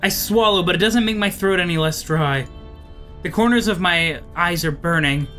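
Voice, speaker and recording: Male player as weary apocalypse driver in a visual novel: high, bright voice, somber, anxious, music underneath. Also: moderate pace. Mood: anguished. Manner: somber, brooding, hesitant. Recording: music behind the voice.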